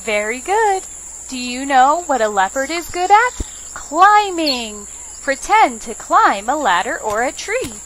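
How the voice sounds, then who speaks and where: A woman's voice: sits high, very animated, a little slow, noisy background. A woman narrating a children's storytime, putting simple questions and instructions to young listeners. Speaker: children's librarian reading stories.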